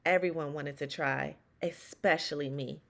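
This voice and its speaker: A woman reading a children's storybook aloud as first-person narration, expressively, with dramatic pauses and emphasis. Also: low-pitched, steady tone, gravelly, fairly bright tone. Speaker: children's read-aloud host.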